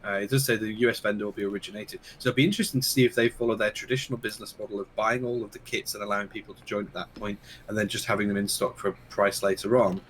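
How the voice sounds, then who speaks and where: A man co-hosting a casual keyboard podcast, losing his voice and talking in halting bits full of fillers. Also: fairly low pitch, steady tone, a bit bright, slight background noise, rough recording. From United Kingdom. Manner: halting, many fillers and restarts. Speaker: podcast co-host. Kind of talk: casual chat about keyboards.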